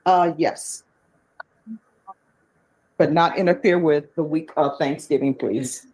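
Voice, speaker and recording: A woman judge in a courtroom, friendly and plainspoken, spelling out clear step-by-step instructions. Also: low-pitched, slow pace, darkish tone, poor audio. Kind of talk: presiding over court hearings.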